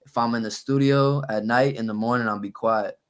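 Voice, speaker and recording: A young man from New Orleans with a raspy voice, a guest rapper talking in a laid-back, measured way with frequent pauses in a casual podcast interview. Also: mid-range voice, steady tone. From New Orleans, USA.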